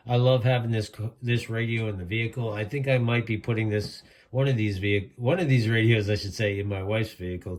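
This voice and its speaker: A man giving a casual, rambling product demonstration as an enthusiastic hobbyist reviewer. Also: lowish voice.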